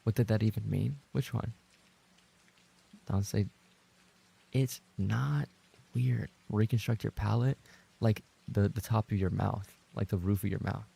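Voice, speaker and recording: A man chatting casually as a livestreamer, rambling and trading playful banter with his viewers, in a gravelly, husky voice. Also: on the low side, steady tone, measured pace, long pauses, mellow. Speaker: livestreamer and ASMR voice actor reading chat.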